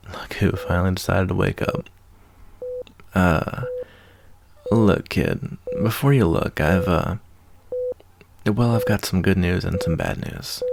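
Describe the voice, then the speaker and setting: Man acting a gravelly, easygoing wasteland doctor. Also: sits low, noisy background, exaggerated voice. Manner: unhurried, hesitant, folksy, reassuring. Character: laid-back, fatherly wasteland doctor, gruff but caring adult man. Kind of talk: ASMR roleplay, softly acted monologue.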